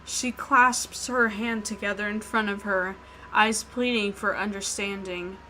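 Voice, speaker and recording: A woman's voice: medium pitch, steady tone, measured pace, sharp tone, slight background noise, rough recording. A woman hosting a gaming video, reading out a visual novel's narration with casual asides.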